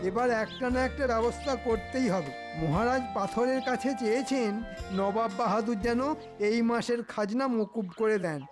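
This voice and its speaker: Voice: mid-range voice, moderate pace, close to an everyday voice. A voice actor playing a scheming, jealous male royal minister in a comedy cartoon, conniving and theatrical. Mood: anxious, determined. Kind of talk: Bengali comedy cartoon dubbing. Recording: music behind the voice.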